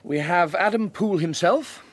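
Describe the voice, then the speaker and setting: A man's voice: sits high, normal speed. An actor playing a methodical, deferential police assistant in a radio drama, precise in his wording. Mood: dutiful, precise. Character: dutiful, methodical police assistant reading out details.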